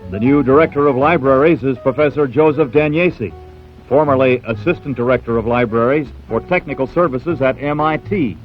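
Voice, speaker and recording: A man's voice: medium pitch, a little fast. American man, scripted newsreel narration, mid-range voice, muffled 1970s film sound, music behind.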